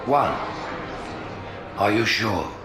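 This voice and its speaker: An actor playing a grave, commanding alien high prophet with measured, stately oratory. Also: male voice, lively intonation. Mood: measured, probing. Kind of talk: cinematic science fiction game acting. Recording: echoing council chamber with music.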